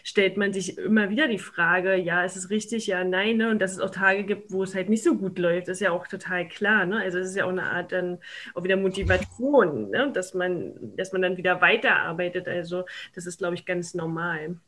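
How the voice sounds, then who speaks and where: A woman's voice: on the low side, a little fast, a bit bright. A woman chatting casually as a podcast interviewer, affirming and adding little tag questions.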